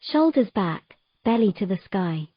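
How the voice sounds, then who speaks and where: Patient mermaid played by a woman, mid-pitched, measured slow delivery, soft instruction, romantic fantasy drama. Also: sing-song, dry recording, muffled recording. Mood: instructing.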